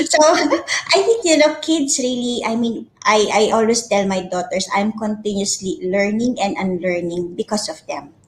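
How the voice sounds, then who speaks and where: A woman's voice: medium pitch, unhurried. A woman hosting an interview, affirming her guest in a friendly way before she moves on to the next question. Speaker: host. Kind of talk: casual interview conversation. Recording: echoey room.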